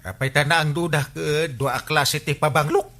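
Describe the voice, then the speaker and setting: A man's voice: a little high, fairly even intonation, moderate pace, a bit bright. A man playing a bumbling, evasive older Filipino village captain in a radio comedy drama, full of stammers and exclamations. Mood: boastful. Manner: exclamatory, stammering, repeated interjections.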